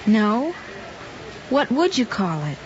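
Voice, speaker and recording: High-voiced, earnest schoolgirl. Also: big pitch swings, takes it slowly. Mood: earnest, confronting. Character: honest, earnest schoolgirl classmate. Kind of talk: mid-century American screen drama acting. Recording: muffled old film soundtrack, faint noise.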